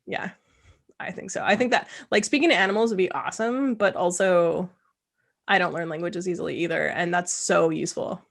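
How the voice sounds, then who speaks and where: A woman hosting a casual interview, talking in a chatty way with quick asides. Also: lowish voice, vocal fry. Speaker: host. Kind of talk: casual video-call chat.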